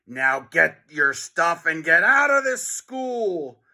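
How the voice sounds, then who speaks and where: Man playing a forceful, gloating school principal. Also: a little high, sing-song, slow, vocal fry, voice pushed up, heavily acted. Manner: shouting, gleeful. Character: gloating, bossy grown male school principal. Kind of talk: children's storybook character reading.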